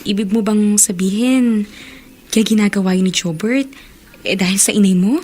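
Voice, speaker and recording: A woman playing an understanding, gently questioning young confidante in a radio drama. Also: mid-range voice, normal speed, slight background noise, close to an everyday voice. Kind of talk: Filipino radio drama acting.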